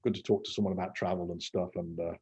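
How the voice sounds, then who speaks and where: A man's voice: deep voice, level tone, quick pace, warm tone, slightly rough, muffled recording. A man in his sixties from England, a podcast guest talking casually and rambling, with lots of fillers and self-mocking asides. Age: 60s. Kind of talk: casual interview talk.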